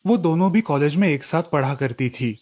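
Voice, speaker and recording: A man narrating a cartoon story with a steady storytelling delivery. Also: a little high, moderate pace, husky.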